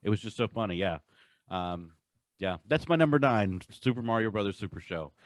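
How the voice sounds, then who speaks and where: A man's voice: fairly low pitch, lively intonation, normal speed, no echo. A man co-hosting a podcast, chatting casually and enthusiastically through a countdown, repeating himself for emphasis. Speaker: podcast co-host. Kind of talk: casual pop-culture countdown chat.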